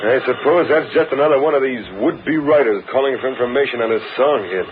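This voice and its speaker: An actor playing an excitable, slangy small-time racketeer in an old-time radio drama. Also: male voice, medium pitch, a little fast, natural voice. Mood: uneasy. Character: jumpy, fast-talking small-time racketeer sidekick. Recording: tinny, noisy old radio recording.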